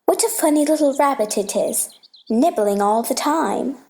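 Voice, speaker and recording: Brief: gentle, bookish uncle read by a woman in a highish voice, patient, playful, explaining, for a storybook play. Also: lively intonation, moderate pace, gravelly. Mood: fond, musing.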